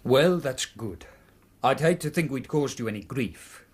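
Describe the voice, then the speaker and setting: A man's voice: mid-range voice, lively intonation, unhurried, husky. A smug, pushy man. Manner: blunt and smug. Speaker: Mister Woodley. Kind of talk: radio drama acting.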